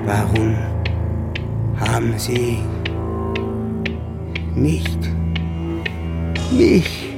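A woman's voice: extremely low pitch, big pitch swings, drawn-out words, gravelly, long pauses, full character voice. An actress playing an anguished, reproachful woman in a dramatic radio play. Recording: loud music over the voice.

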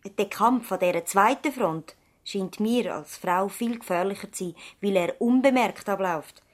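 An idealistic young woman in a seventies radio play. Her voice sits at an average female pitch. She talks in a measured, thoughtful way, very much in earnest. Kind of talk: 1970s radio drama dialogue.

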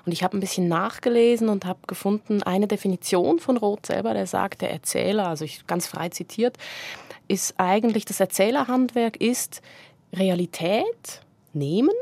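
A woman's voice: medium pitch, normal speed, creaky, darkish tone, dry recording. A woman hosting a conversational cultural interview, engaged and reflective as she puts her thoughts to the guest. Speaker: interviewer, radio host.

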